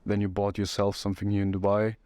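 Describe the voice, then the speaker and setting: Low-voiced podcast host from northern Germany. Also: male voice, monotone, quick pace, mellow, no room sound. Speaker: podcast host, company lead. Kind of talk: casual podcast interview.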